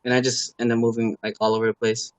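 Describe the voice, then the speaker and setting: A man's voice: mid-range voice, completely flat delivery, fast talker, no echo, low-quality recording. Laid-back man rambling with fillers. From Los Angeles, USA. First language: Khmer. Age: adult parent. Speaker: guest, car builder and YouTuber. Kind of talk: casual interview chat.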